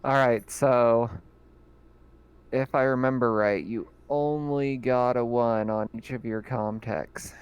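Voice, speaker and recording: A man's voice: mid-range voice, fairly even intonation, smooth, low-quality recording. A man running a tabletop horror game as game master, narrating casually with plenty of fillers. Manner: casual, with fillers and quick reactions.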